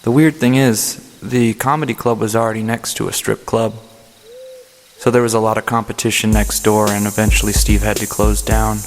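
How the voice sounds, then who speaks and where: Deadpan male narrator-host for a comedy audio play; lowish voice over loud music.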